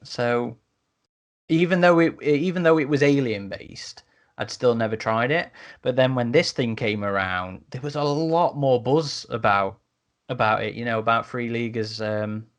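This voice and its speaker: Man, mid-pitched voice, podcast host, casual discussion, halting repeated words.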